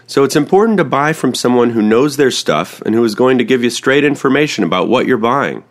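A man hosting a podcast, reading the intro and a sponsor ad clearly and steadily, then asking his guest questions. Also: mid-range voice, fairly quick. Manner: clear, steady read; spells out web addresses. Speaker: podcast host. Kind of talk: podcast intro, ad read and interview.

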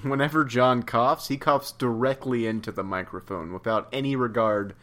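A young man co-hosting a casual comedy podcast, voice tired from a day of talking, playful and quick to laugh. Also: mid-range voice, fairly bright tone. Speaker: podcast co-host and writing tutor.

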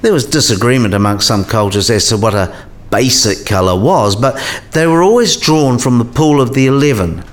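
A man's voice: mid-range voice, animated, normal speed, lightly acted. A man playing a patient, scholarly professor in a radio play, explaining things in a didactic way.

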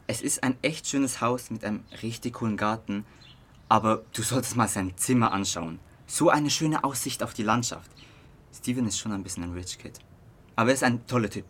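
A man's voice: on the low side, moderate pace, fairly bright tone, slightly rough. A young man playing a curious, easygoing teenage boy in an amateur radio drama, talking casually in youth slang with some hesitancy. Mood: chatty, admiring. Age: young adult. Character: curious, easygoing teenage boy trying partying for the first time.

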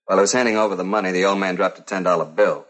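An actor playing a grim, plainspoken policeman, giving terse, flat reports in a 1950s crime drama. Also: sits low, racing. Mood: somber.

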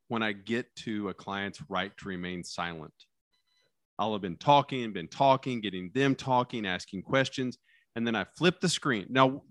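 A man with a lowish, loud, carrying voice, recorded close and dry with no room sound. He talks casually about courtroom practice as a lawyer. He animates his stories and acts out lines, sinking his voice down low for effect. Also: dry recording. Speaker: criminal defense lawyer.

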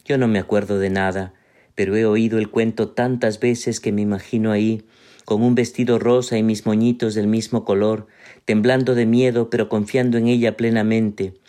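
A man reading a short story in the voice of a teenage girl narrating her own past, calm and measured. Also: on the low side, close to an everyday voice. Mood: reflective. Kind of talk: read-aloud short story.

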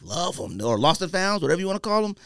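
A man chatting casually and opinionatedly on a podcast, using relaxed slang. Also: high voice, fast, creaky, no room sound, clean studio sound. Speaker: podcast host. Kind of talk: casual sneaker podcast chat.

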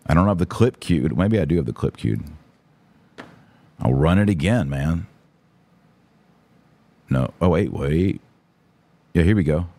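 Low-voiced male podcast host. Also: expressive, unhurried, pauses often, dark tone. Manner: informal, opinionated, emphatic, slangy. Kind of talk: casual livestream commentary, answering viewer comments.

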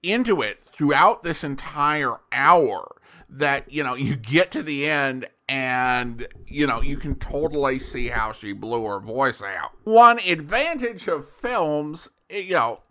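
Bright, animated, slow-paced male host. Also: mid-range voice. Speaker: podcast host. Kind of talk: conversational radio-history commentary.

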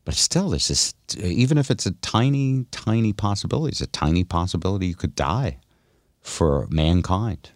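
A low-pitched man hosts casual radio talk with lively swings in pitch. He banters in a wry, deadpan way, and the sound is dry and close-miked. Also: normal speed, dry recording. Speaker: radio show host.

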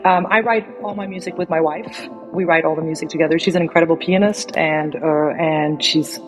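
A woman's voice: sits low, steady tone, brisk, soft background music. A woman DJ and singer, raised abroad, chatting casually in an interview, often repeating words as she restarts her sentences. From Denmark, raised in Egypt. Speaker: guest DJ and singer.

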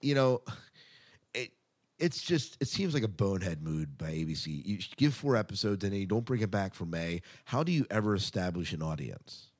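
A man's voice: low voice, lively intonation, a little fast. A man co-hosting a podcast, giving casual entertainment news commentary in a conversational way with emphatic asides. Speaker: podcast co-host.

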